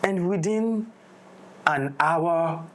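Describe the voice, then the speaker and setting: Expressive man chatting casually. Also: a little high, very slow. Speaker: audio drama director in discussion. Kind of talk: casual unscripted conversation.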